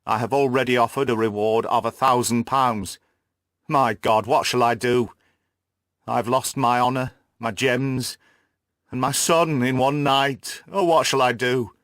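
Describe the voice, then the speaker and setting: A man's voice: medium pitch, steady tone, measured pace, fairly bright tone, no echo, clean studio sound. A man voicing an anguished older banker, a dignified and despairing father, in an emotional, lamenting audiobook performance. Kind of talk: audiobook character acting.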